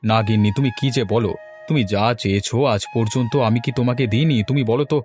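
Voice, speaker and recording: Casting: male actor as cheerful well-off cartoon husband, rapid, talkative, coaxing and self-satisfied. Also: mid-range voice, darkish tone, soft background music, close to an everyday voice. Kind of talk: Bengali cartoon dialogue.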